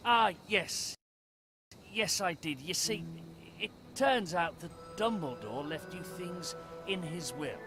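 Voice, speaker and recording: A man playing a kindly, awkward middle-aged wizard father, explaining haltingly in a video game. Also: sits high, expressive, heavily acted. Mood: explanatory. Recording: game music behind.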